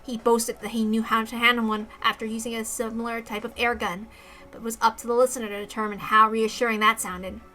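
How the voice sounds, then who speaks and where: Bright, high-voiced woman reading a story aloud. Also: little pitch movement, brisk, slight background noise. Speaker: reader of a visual novel story. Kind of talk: expressive story read-aloud, first-person narration.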